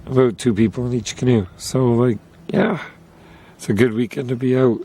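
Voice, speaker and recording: A man with a hoarse, failing voice, chatting casually to viewers while paddling a canoe on a river. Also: mid-range voice, steady tone, moderate pace, warm tone. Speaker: vlogger on a solo canoe trip. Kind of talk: casual talk to camera. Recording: outdoor noise of wind and water. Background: wind and water noise.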